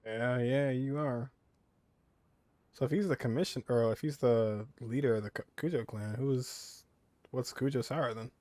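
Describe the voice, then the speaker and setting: A man's voice: medium pitch, fairly even intonation, normal speed, dark tone, no echo. A man giving casual, off-the-cuff commentary while playing through a video game. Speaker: gaming video creator.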